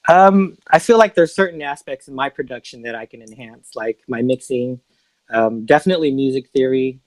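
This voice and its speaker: A man's voice: medium pitch, moderate pace, a little mellow, no echo, rough recording. A man from the Bay Area, a music producer being interviewed in a casual podcast chat. He talks in a laid-back, hesitant way with lots of fillers and restarts. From San Francisco Bay Area.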